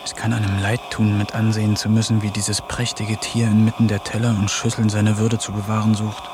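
A man's voice: on the low side, flat delivery, fairly quick, heavy background noise, lightly acted. An actor narrating his diary in a radio drama as its lonely protagonist, in a wry, melancholic way.

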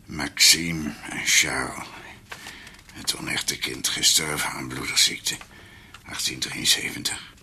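An actor playing a dying old doctor in a radio drama, weary and in pain, speaking in halting, whispered, broken phrases. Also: male voice, a bass voice, steady tone, pushed and bright, slightly rough, full character voice. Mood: labored, solemn. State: in pain, struggling for breath.

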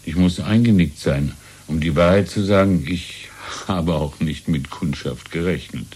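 A wistful old shopkeeper from a radio play. His voice is low, dark and husky, and his manner is hesitant, courteous and gently deferential. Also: unhurried. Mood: apologetic. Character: courteous, wistful old antique-shop keeper. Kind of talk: 1970s German radio drama acting.

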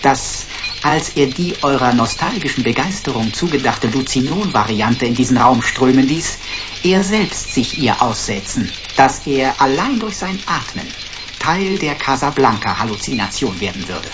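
An actor playing a detective's erudite, flowery sidekick in a sci-fi radio drama, mock-formal and long-winded. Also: male voice, medium pitch, very animated, normal speed, dull audio, noisy background, natural voice. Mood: wry explaining.

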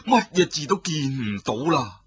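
A man's voice: mid-range voice, very animated, a little slow, husky, dry recording, dull audio, exaggerated voice. A man voicing a proud young male hero who speaks in an earnest, formal way.

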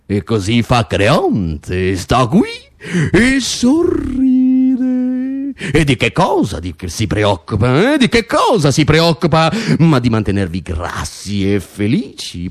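A man's voice: medium pitch, wildly swooping pitch, a little slow. An actor playing a pompous, falsely humble tyrant king in radio theatre, theatrical and unctuous, prodding his people with rhetorical questions. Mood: smug, cajoling. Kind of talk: stage-like radio theatre monologue.

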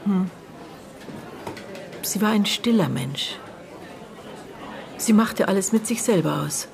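An actress playing a middle-aged, bitter and guarded aunt in a naturalistic radio drama, speaking in terse, halting phrases as she searches her memory. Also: medium pitch, lively intonation, darkish tone, some chatter behind, slight background noise. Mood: reflective, guarded. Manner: terse, halting, broken phrases with thoughtful pauses.

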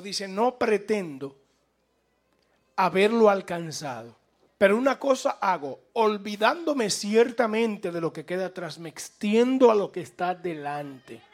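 High, gravelly voice of a fervent preacher. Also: male voice. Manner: fervent and emphatic, with repetition and rising exhortation. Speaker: radio preacher. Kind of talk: evangelical sermon.

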